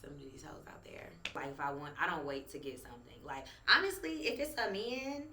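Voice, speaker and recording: A woman's voice: low-pitched, sing-song, fairly quick, sharp tone, echoey room. A woman speaking as a guest in a casual panel chat, blunt and boastful in how she talks.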